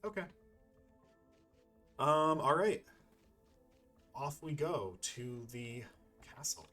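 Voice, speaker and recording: A man's voice: medium pitch, expressive, very slow, bright, forceful tone, faint music behind. A man hosting a gaming video with casual let's play commentary, rambling a little as he thinks aloud.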